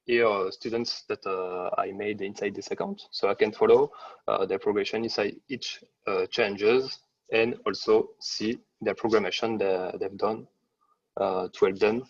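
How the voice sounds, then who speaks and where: Halting low-voiced man on a muffled distant mic. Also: fairly even intonation, vocal fry, slightly rough, dry recording. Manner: halting, many fillers and restarts. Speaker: webinar presenter. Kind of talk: software demo presentation. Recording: dull, distant, dry sound.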